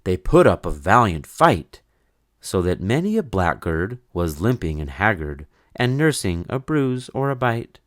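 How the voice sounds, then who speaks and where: A man reading a children's story in verse aloud, with an expressive, measured, sing-song delivery. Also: on the low side, dry recording, studio quality. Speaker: storybook reader.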